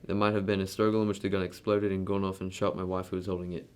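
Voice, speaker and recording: A man's voice: deep voice, level tone, fast, darkish tone, clear, resonant tone, natural voice. A man playing a proud, defensive wealthy husband in an amateur radio drama, speaking bluntly. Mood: grave, explaining.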